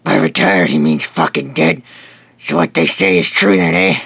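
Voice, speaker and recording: Very gravelly, crude gangster. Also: male voice, mid-range voice, sing-song, moderate pace, fairly bright tone, no room sound, studio quality, exaggerated voice. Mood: sneering. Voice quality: very gravelly, rough. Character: crude, hot-tempered gangster henchman. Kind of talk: comic-book radio drama acting.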